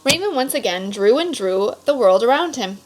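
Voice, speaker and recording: A woman reading a children's picture book aloud expressively, pausing for casual explanatory asides to young listeners. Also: on the high side, lively intonation, background noise. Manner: explanatory, stops to clarify words for children. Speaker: read-aloud storyteller.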